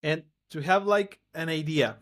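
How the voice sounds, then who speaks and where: A man's voice: on the high side, a little slow, fairly bright tone. A man hosting an interview over a video call, asking brief, direct questions. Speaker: interview host.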